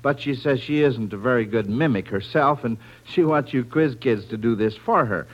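A man's voice: mid-range voice, moderate pace, muffled recording. An American man hosting a 1940s radio quiz show in a folksy, encouraging way, on an old recording.

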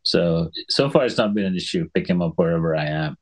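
A middle-aged man from Sri Lanka with a deep, low voice, talking as a guest in a relaxed interview. He explains things in a measured, unhurried way and stops now and then to clear his throat. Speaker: guest physician. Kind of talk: conversational podcast interview.

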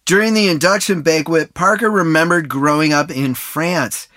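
A man hosting a sports podcast, reading scripted narration with a smooth, even delivery. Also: a little high, moderate pace, no room sound. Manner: smooth read-aloud delivery. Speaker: podcast host.

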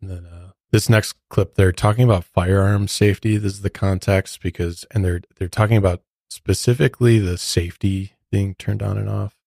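A man's voice: low-pitched, steady tone, normal speed, creaky, few pauses, a little mellow, dry recording, clean studio sound. A man hosting a true crime podcast, casually explaining court clips and the case to his co-host.

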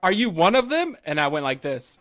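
Bright-voiced Southern man telling stories. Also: fairly high pitch, brisk. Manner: animated storyteller who acts out quotes. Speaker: podcast co-host. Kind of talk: casual profane chat.

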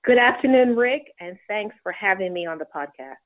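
A woman's voice: mid-range voice, animated, normal speed. Phone-line woman, faintly British American accent. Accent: mostly American with faint British traces. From England. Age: middle-aged. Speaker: podcast guest, realtor. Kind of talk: interview answers. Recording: tinny narrowband phone line.

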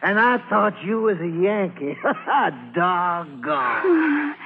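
An actor in a vintage radio drama playing a gruff, taunting Confederate soldier with a commanding, folksy manner. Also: male voice, sits high, very animated, drawn-out words, noisy background, exaggerated voice. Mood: mocking. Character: gruff, bullying Confederate soldier on sentry duty. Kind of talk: 1950s radio drama acting. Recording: muffled old radio broadcast.

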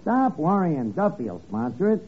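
Wisecracking bossy schemer, mangled grammar, swooping delivery, old radio comedy, noisy narrow recording. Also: male voice, fairly high pitch, very animated, measured pace, a little mellow. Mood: cocky, scheming. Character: slangy, bossy, scheming tavern man posing as radio writer. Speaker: comic lead of a radio sitcom. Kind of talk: 1940s-50s radio comedy acting.

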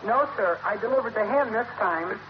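A man's voice: high-pitched, lively intonation, moderate pace. An actor playing a dryly teasing egg delivery man in an old radio sitcom. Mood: wry. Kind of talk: 1940s-50s radio sitcom acting. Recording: muffled, noisy vintage radio recording.

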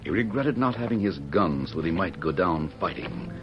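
An actor as the solemn, suspenseful narrator of an old-time radio western adventure. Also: male voice, sits low, lively intonation, normal speed. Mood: tense. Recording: muffled old radio recording. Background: dramatic music.

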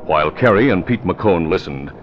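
A man's voice: low-pitched, expressive, normal speed, slight background noise. A man announcing and narrating in 1940s radio style, with emphatic, dramatic delivery. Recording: dull vintage radio recording, faint music.

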